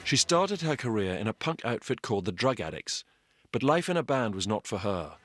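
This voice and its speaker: A man's voice: fairly low pitch, big pitch swings, brisk, soft background music. A man reading scripted narration as the narrator of a documentary.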